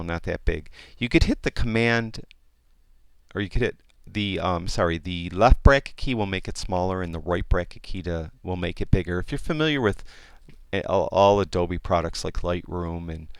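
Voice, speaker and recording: A man explaining a photo editing program in a casual, rambling tutorial, with many fillers and restarts, and a voice that is getting hoarse. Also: deep voice, normal speed, dry recording. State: losing his voice. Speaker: software tutorial instructor. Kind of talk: casual screen-recorded tutorial.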